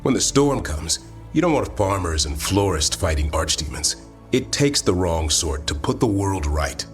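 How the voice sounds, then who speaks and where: An actor playing a wry, earnest warrior of an honorable fantasy order in a video game, with dry, measured delivery. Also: male voice, deep voice, big pitch swings, soft background music. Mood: earnest, stirring.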